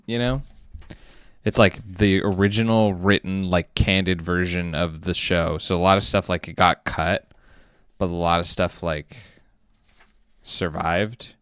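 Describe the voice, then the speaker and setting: A man who makes an animated series, chatting casually on a live stream at his desk, rambling with many fillers and restarts. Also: low voice, unhurried, smooth, no room sound, studio quality. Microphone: webcam setup at a desk.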